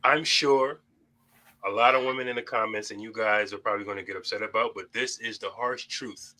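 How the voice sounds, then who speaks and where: A man's voice: lowish voice, steady tone, brisk, bright, forceful tone, rough recording. A young adult man hosting a casual panel debate, talking bluntly and preachily, with plenty of swearing. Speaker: host.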